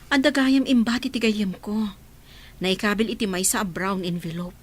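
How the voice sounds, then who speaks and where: A woman acting as a protective, earnest village mother in an Ilocano radio drama. Her voice sits at an average pitch and carries an Ilocano accent. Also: moderate pace, close to an everyday voice. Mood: confiding.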